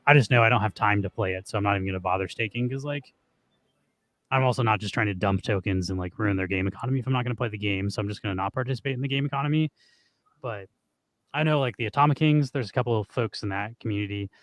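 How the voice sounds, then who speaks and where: A hoarse man co-hosting a stream, chatting casually and rambling with lots of "like". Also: fairly low pitch, fast talker, a bit bright, no room sound. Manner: rambling, heavy use of "like". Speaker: streamer co-host.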